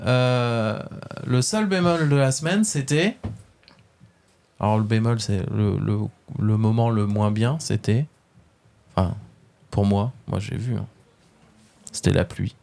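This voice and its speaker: A man's voice: fairly low pitch, takes it slowly, creaky, pauses often, a little mellow. A man with a French accent hosting a casual webradio interview, hesitant and full of fillers but friendly.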